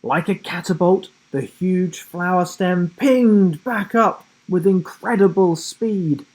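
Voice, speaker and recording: A man reading a children's storybook aloud in a dramatic, suspenseful way with deliberate pauses. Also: high voice, a little mellow. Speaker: children's book narrator. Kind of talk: dramatic scripted storybook narration.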